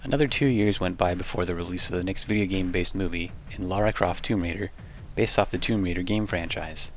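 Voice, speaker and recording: A man's voice: low-pitched, fast talker. A man reading scripted documentary narration over background music. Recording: music under the voice.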